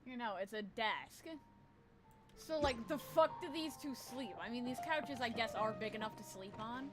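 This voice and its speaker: A woman's voice: fairly high pitch, normal speed. A young woman giving casual, slangy, sweary let's play commentary with an amused manner. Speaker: game streamer. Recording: game music behind voice.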